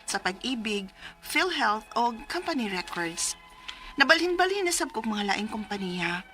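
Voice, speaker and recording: An earnest young Filipina daughter speaks in a high, bright voice with a Cebuano accent. She is expressive, telling her story in the manner of a nineties radio drama. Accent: Cebuano Philippine. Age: young adult. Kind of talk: 1990s Bisaya radio drama acting, narrating her story.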